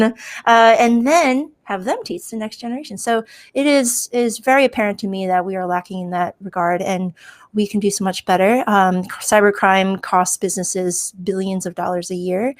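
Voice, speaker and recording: Woman guest whose first language is Chinese, mid-pitched voice, upbeat and joking. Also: moderate pace. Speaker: guest, information security director. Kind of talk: casual panel conversation.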